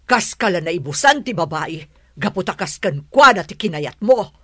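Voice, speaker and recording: A woman's voice: on the low side, expressive, normal speed, dry recording, clean studio sound. An actress playing a disapproving, nagging mother of a grown son in a radio drama. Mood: scolding.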